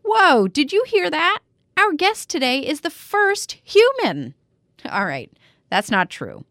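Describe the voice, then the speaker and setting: A woman's voice: high-pitched, wildly swooping pitch, slow pace, fairly bright tone, no room sound, studio quality. A woman hosting a podcast for children, narrating in an upbeat, playful way. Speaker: podcast host.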